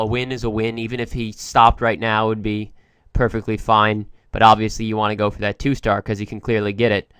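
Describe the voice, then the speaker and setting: A man's voice: lowish voice, fairly bright tone, clear, resonant tone, no echo. A young man commentating game replays, explaining each attack step by step, with a cold wearing on his voice. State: has a cold, voice going. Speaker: gaming commentator.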